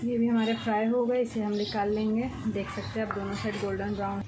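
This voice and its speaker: Woman calmly narrating a home recipe. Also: medium pitch, fast talker, background noise. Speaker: cooking channel host. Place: home kitchen.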